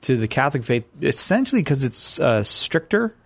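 A man's voice: mid-range voice, big pitch swings, normal speed, husky, no echo. A man hosting a live call-in radio show, talking in a breezy, jokey way. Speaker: radio host. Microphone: studio mic.

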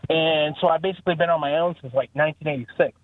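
A man from Mexico, a native Spanish speaker, calling in with a halting, hesitant question. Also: a little high, fairly even intonation, no room sound. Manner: hesitant, halting, repeats words. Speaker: caller. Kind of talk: phone-in question. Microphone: telephone. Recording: tinny phone line.

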